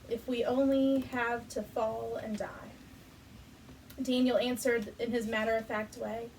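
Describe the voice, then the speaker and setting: A woman reads a storybook aloud in a slightly high, creaky voice. She sounds away from the microphone, with faint noise behind her. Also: a little mellow. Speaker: amateur reader, choir member. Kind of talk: storybook narration with dialogue. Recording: distant mic, faint background noise.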